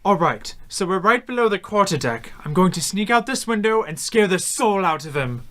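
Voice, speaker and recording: A playful young shipmate in a seafaring radio play, acted by a woman. The lad is a mischievous prankster with a middling, youthful pitch. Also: very animated, moderate pace, a bit bright. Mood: playful, conspiratorial. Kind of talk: radio drama dialogue.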